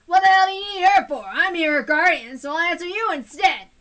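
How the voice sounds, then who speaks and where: Woman acting out an abusive middle-aged bully, belligerent, loud, high-pitched, sharp, storybook reading. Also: steady tone, a little fast, natural voice. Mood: angry shouting. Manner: belligerent, shouting, sneering.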